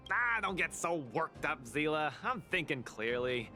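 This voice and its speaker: Young game fighter, hyped, boastful, breezy, bright tone, lively pitch. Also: male voice, unhurried, faint music behind, heavily acted. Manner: boastful, easygoing, laughs things off. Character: cheerful, hyped young male underworld brawler. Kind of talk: video game dialogue.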